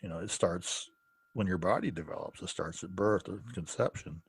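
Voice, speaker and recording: A man with a low, husky voice talks through ideas as a podcast co-host, pausing often and restarting his sentences. The sound is close and dry, with no room around it. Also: no echo. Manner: halting, full of restarts and "uh". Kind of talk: casual theology discussion.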